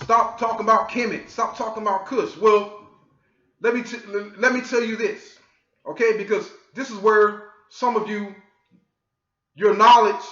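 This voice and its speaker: A man from the United States lecturing his viewers in a scolding, forceful way and often asking "okay?". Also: high-pitched. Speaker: online commentator. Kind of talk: lecturing monologue. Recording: echoey, dull lo-fi audio.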